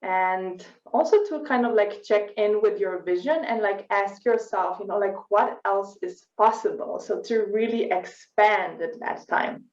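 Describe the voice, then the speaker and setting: A woman's voice: medium pitch, a little slow, hardly any pauses, a little mellow, roomy sound, far from the mic, lo-fi sound. A woman co-leading a live online workshop, talking in an upbeat, flowing way with many fillers. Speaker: workshop co-host.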